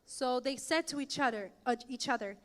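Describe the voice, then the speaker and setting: A woman with a high voice interprets a sermon line by line, following closely behind the preacher. She restarts words now and then as she keeps up with him. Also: moderate pace, fairly bright tone, big-room sound. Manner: follows phrase by phrase, small restarts.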